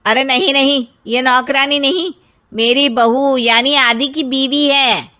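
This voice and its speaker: A woman's voice: sits high, a little slow, sharp tone, pitched above the performer's own voice. A woman voicing a bossy, proud middle-aged village mother-in-law in a cartoon story, emphatic and insistent. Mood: proud, correcting.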